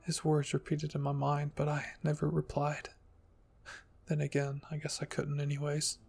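A man narrates a scripted story in an even, measured tone. His voice sits a bit high for a man and is husky with some fry. Soft music plays behind the reading. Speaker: fan fiction reader and narrator. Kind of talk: scripted first-person story narration, ASMR roleplay reading.

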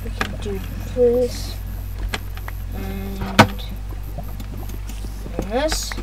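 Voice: high voice, pushed and bright, slight background noise, low-quality recording. A gaming video host giving casual play-by-play, repeating phrases and talking to viewers. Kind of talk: casual gameplay commentary.

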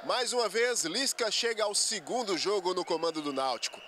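Bright, high-pitched young Brazilian male reporter. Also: fairly quick, slight background noise. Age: young adult. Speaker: sports reporter. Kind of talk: scripted piece to camera. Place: large football stadium. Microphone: handheld microphone.

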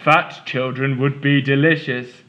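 A man's voice: mid-range voice, fairly even intonation, unhurried, fairly bright tone, close to an everyday voice. Storybook giant, male, hungry and grumpy, roars his lines, read by a man. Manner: roaring, grumpy. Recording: dull lo-fi recording.

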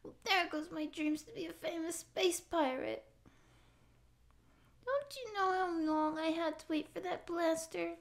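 A young woman acting a naive, pouty stray catgirl in a soft, halting ASMR roleplay voice. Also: very high voice. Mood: pouty, hurt. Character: naive, tearful stray catgirl would-be space pirate. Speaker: ASMR voice actor. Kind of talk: ASMR roleplay audio drama.

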